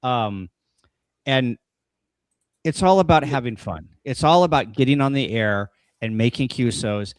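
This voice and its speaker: A man's voice: medium pitch, animated, measured pace, no room sound. A man in a casual panel chat, talking bluntly and with strong opinions as a guest explainer. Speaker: guest explaining amateur radio contests.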